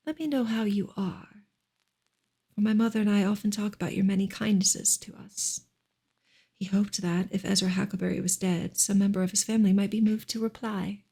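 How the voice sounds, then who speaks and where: A woman reading a novel aloud in a soft, breathy ASMR style, partly whispered, in a gentle, hushed and unhurried way. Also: mid-range voice. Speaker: ASMR reader and streamer.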